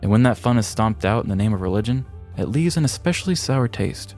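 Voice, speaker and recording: Low voiced guy co-hosting a podcast, telling stories and chatting, bit of music behind him. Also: expressive, quick pace, a little mellow. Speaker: podcast co-host. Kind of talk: scripted story narration and casual banter.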